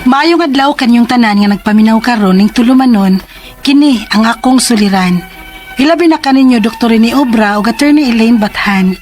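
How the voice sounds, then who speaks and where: High-voiced teenage schoolgirl, acted by a woman. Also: a little mellow, lightly acted. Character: fifteen-year-old schoolgirl narrating her troubles, earnest and timid. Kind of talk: radio drama acting.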